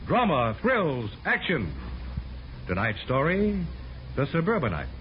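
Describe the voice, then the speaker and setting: A man's voice: on the high side, wildly swooping pitch, unhurried, very noisy. An American man announcing a show in a dramatic 1950s radio style. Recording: muffled 1950s radio recording, loud music behind.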